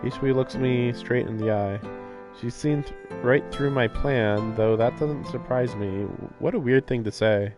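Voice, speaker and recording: A man's voice: medium pitch, unhurried. Male streamer, mid-range voice, reads story text and reacts with dry disbelief, light game music underneath. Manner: sardonic, incredulous asides. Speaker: livestreamer reading and reacting to a visual novel. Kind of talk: casual game commentary mixed with reading narration aloud.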